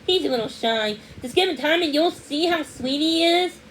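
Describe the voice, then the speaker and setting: A woman voicing a flustered, apologetic lady in a storybook, chattering in a hurried tumble of words. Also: high-pitched, expressive, a bit bright, a little hiss or hum, pitched above the performer's own voice, rough recording. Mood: apologetic, fond. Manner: breathless rushing, words tumbling over one another.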